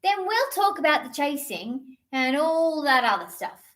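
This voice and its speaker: A tiny young bird from a picture book, played by a woman reader, very high and chirpy. It is plucky and outspoken, with emphatic, swooping phrases. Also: sing-song, measured pace, a bit bright, heavily acted. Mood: bright, persuasive.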